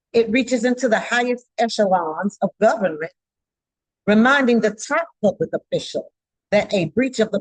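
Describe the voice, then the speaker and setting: A woman delivering a formal scripted public address in a stern, solemn, emphatic manner, with halting phrasing. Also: medium pitch, no room sound, low-quality recording. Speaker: judge giving a public address.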